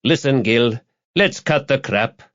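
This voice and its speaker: A man's voice: mid-range voice, slow pace, fairly bright tone, muffled recording, voice pushed up. A man voicing a sly newspaper reporter in an audiobook, needling and insinuating as he fishes for information in a conversational way. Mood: pressing.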